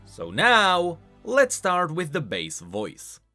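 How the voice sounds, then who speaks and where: A man hosting a video game lore explainer, speaking in an animated, emphatic way. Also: on the high side, slow. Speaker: host of a game lore channel. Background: music.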